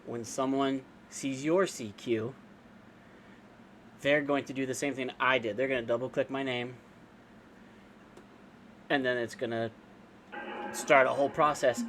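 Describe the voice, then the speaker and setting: A man giving an informal, unscripted software walkthrough, explaining step by step in a casual way. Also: medium pitch, a little hiss or hum. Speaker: amateur radio operator giving a software tutorial. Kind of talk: informal screen-share tutorial, unscripted walkthrough.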